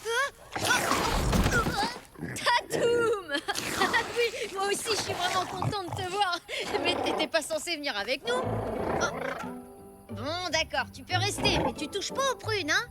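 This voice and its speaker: A woman playing an earnest, brave little child in a cartoon, eager and expressive. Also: extremely high pitch, unhurried, long pauses. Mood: surprised, fond. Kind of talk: French cartoon dub acting. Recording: loud background music under the voice.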